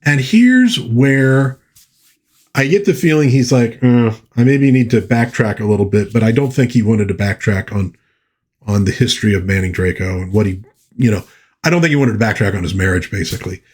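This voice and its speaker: A man with a husky, slightly rough voice at an average pitch talks casually to his viewers. He rambles like an enthusiastic fan, doubling back to correct himself as he reviews. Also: moderate pace, darkish tone. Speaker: host of a pop culture channel reviewing old pulp fiction. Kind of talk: casual rambling book review to viewers, with short read-aloud passages.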